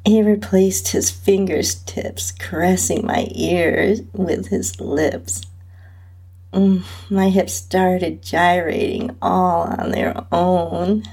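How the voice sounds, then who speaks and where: A woman with a husky, breathy voice reading a first-person erotic story as its narrator. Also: fairly low pitch, fairly even intonation, takes it slowly, darkish tone. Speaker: podcast host and narrator.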